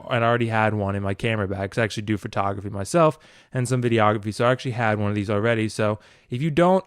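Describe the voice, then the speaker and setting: A man's voice: fairly low pitch, smooth, dry recording, clean studio sound. A man hosting a casual, unscripted tutorial, talking informally and a bit ramblingly as he demonstrates. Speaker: video tutorial host, hobbyist. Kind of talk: casual unscripted product walkthrough.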